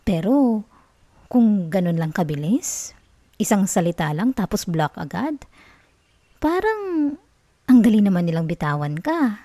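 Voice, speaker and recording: A woman playing an obsessive, sweetly manipulative young college girlfriend in an ASMR roleplay, with a Filipino accent and a soft, coaxing, cooing manner. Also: mid-range voice, very animated, unhurried, pauses often, no room sound. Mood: gently coaxing, manipulative. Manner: coaxing, cooing, giggly reassurance.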